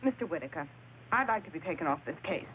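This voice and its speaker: A woman's voice: on the low side, lively intonation, fast talker, fairly bright tone, low-quality recording. An actress playing a sassy, sardonic undercover policewoman, defiant and sparring, in old noir radio style on a hissy recording. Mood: cool, curt. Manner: sarcastic, sparring. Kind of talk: mid-century film noir radio acting.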